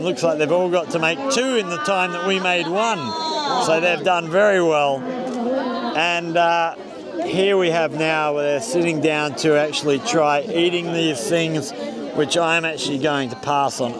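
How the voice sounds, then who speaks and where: A man's voice: on the high side, steady tone, normal speed. Easygoing Australian man vlogging in a crowd. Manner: chatty, easygoing tour-guide commentary. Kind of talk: casual walk-and-talk vlog narration. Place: outdoors at a busy public festival. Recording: loud crowd noise outdoors. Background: crowd chatter.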